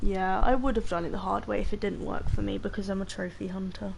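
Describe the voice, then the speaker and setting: A woman streamer with a low voice, chatting casually and rambling to her chat over gameplay through a distorting mic. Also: fairly even intonation, quick pace, vocal fry, background noise.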